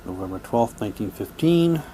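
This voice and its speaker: A man's voice: fairly low pitch, animated, moderate pace, dark tone. A man in a casual unboxing commentary, reading documents aloud and musing to himself as he goes. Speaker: reviewer showing mail-order radio drama props. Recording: clear background noise, room ambience.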